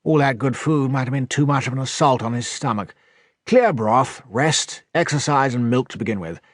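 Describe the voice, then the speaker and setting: Man playing a genial, brisk English village doctor. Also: mid-range voice, dry recording, clean studio sound, natural voice. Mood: brisk, reassuring. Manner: hesitant ums, clipped questioning.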